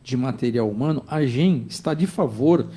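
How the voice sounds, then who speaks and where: A man's voice: medium pitch, normal speed, mellow, slightly rough. A man in his fifties speaking as a business executive guest in a studio discussion. He talks in an emphatic, insistent way and keeps checking that the listener follows. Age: 50s.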